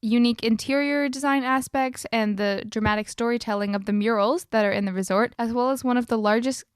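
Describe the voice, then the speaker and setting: Informative woman co-host, slightly high. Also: fairly quick, clear, resonant tone, no room sound, clean studio sound. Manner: steady, informative, agreeable. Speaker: podcast co-host. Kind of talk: reading notes in casual chat.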